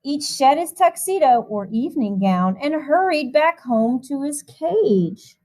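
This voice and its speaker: A woman music teacher reading a picture book aloud to young children in an expressive, animated way, with chatty asides. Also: sits high, a little slow.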